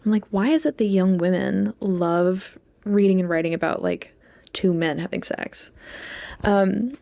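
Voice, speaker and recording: Young woman rambling casually with creaky fry. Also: medium pitch, measured pace, mellow. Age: young adult. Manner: rambling, peppered with "like". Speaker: podcast co-host, English PhD student. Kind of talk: casual podcast chat.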